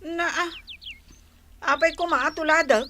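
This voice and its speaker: High-pitched, sulky, envious village woman. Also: steady tone, measured pace, sharp tone, slight background noise. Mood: envious, scornful. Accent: Ilocano. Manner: whiny, grumbling, indignant. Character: envious, sulky village woman who grumbles about her rich neighbours. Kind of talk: Ilocano radio drama acting. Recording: music bed behind the voice.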